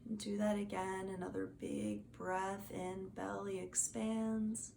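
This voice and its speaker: A woman gently guiding a meditation as a teacher, in a soothing, soft and unhurried manner. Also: mid-range voice, flat delivery, gravelly. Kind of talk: guided meditation instruction. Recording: faint background noise.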